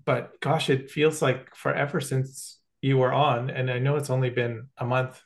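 A man's voice: mid-range voice, flat delivery, moderate pace. A man from Canada hosting a podcast, talking casually and hesitantly with many fillers. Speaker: podcast host. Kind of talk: casual interview chat.

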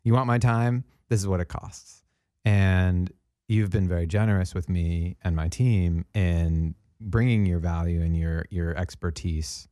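Low-voiced, mellow man interviewing. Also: fairly even intonation, measured pace, no room sound, studio quality. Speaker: interviewer, host. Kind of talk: reflective conversational interview.

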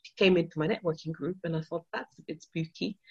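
Brief: low-voiced non-native woman from Austria, keen interview guest, muffled lo-fi recording. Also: fast, husky. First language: not English. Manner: enthusiastic storytelling with many restarts and fillers. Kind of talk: casual interview talk.

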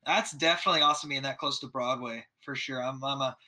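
Bright-voiced Texan podcast host. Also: male voice, mid-range voice, quick pace. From Texas. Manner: easygoing, filler-heavy, upbeat. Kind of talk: casual podcast interview.

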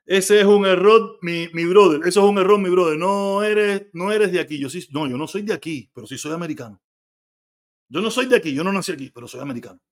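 A man's voice: sits high, fairly quick. A Cuban man hosting a live stream, reading viewer comments aloud and answering them bluntly, with frequent swearing. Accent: Cuban Spanish. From Cuba. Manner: blunt, argumentative, swears freely. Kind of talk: casual live stream chat.

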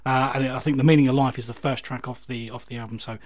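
Fast-talking male music radio host. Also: mid-range voice, steady tone. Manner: enthusiastic, hesitant, repetitive phrasing. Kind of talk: casual music radio chat.

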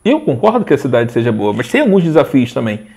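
A man in his forties, a guest in a casual interview, talking in a rambling, animated way with frequent restarts. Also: medium pitch, fast talker, slightly rough. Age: 40s. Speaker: interview guest, social media page owner.

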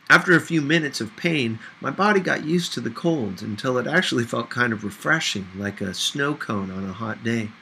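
Male audiobook narrator, bright forward tone, expressive novel reading, slight background noise. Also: medium pitch, poor audio. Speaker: audiobook reader.

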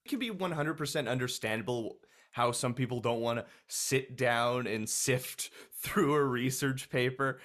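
A man with a mid-range voice asks questions in an easygoing, casual way. He fills his sentences with "like", "um" and "uh" and keeps the tone relaxed and chatty. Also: medium pitch, measured pace. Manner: loose, many likes and fillers. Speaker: interviewer.

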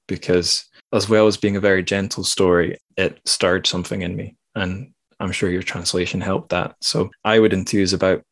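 A man's voice: low-pitched, level tone, moderate pace, hardly any pauses, dry recording. A man hosting a podcast, discussing books casually in informal, self-interrupting phrases. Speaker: podcast host.

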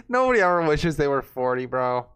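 A man's voice: a little high, big pitch swings, normal speed, a bit bright, smooth. A man in his twenties hosting a casual livestream with informal, teasing banter. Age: 20s. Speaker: show host.

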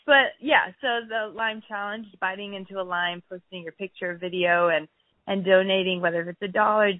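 Hoarse woman on a tinny line. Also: medium pitch, normal speed, dry recording. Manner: giggly, says she is losing her voice. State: hoarse, clearing throat. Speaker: podcast guest. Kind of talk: casual interview chat. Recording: dry phone-like line.

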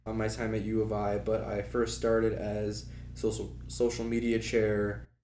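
Young man, flat low voice, echoey room. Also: unhurried. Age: early 20s. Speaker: guest, former student club officer. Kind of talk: casual conversation. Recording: echoey room with faint background noise.

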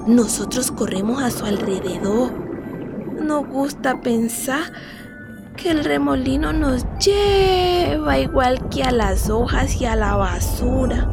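A little girl in a radio play, dreamy n childlike, talks real slow, music behind. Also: sits high. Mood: playful, dreamy. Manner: childlike, simple and repetitive. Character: eight-year-old girl, playful, wistful, reluctant, from a displaced family. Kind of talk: radio drama monologue.

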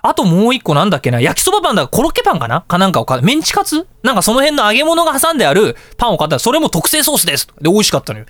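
Animated, high-pitched man talking very fast. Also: dry recording. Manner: excitable, acts out little exchanges while telling anecdotes. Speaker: radio show host. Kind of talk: casual storytelling radio talk.

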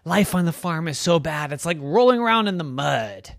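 A woman voicing an eager young female pig who wants to be a comedian, in a squeaky, pleading, chatty way, for a bedtime story. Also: animated, moderate pace, vocal fry, no echo, clean studio sound.

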